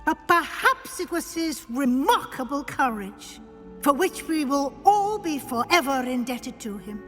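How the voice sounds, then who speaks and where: An earnest professor honoring a fallen colleague, high-pitched and unhurried. Her words echo through a large hall with faint game music beneath. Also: big pitch swings, fairly bright tone, heavily acted. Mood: solemn. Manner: earnest, measured, solemn. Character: earnest older female professor delivering a eulogy. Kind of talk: video game cutscene acting. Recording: echoey hall reverb, faint music score.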